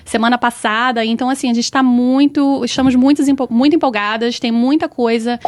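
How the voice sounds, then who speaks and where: A woman's voice: a little high, steady tone, slight background noise. A woman with a Brazilian accent, a samba school organizer chatting eagerly as an interview guest, restarting her phrases as she goes. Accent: Brazilian Portuguese. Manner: enthusiastic, talks in quick restarting runs. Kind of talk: casual interview chat.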